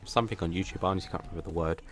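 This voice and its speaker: Casual gaming-channel host, male, lowish flat voice, hesitant and self-deprecating, rambling delivery. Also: rapid-fire. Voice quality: flat, plain voice. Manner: rambling and hesitant, many restarts. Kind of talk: casual channel update to viewers.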